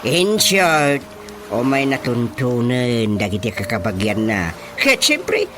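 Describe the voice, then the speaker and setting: Excitable village chairman dad, ilocano accent, radio comedy, slow drawly talk, music behind. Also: medium pitch. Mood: boastful. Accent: Filipino, Ilocano. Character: excitable village chairman and father, boastful about relatives.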